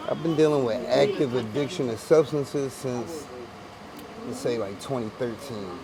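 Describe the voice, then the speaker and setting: A man's voice: medium pitch, unhurried, vocal fry, crowd noise, rough recording. Philly man on a crowded street. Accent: Philadelphia. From Philadelphia, USA. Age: 40s. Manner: street slang, repeats phrases, restarts often. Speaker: interviewee. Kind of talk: street interview, casual. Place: outdoors on a busy city street. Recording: outdoor street recording with passersby.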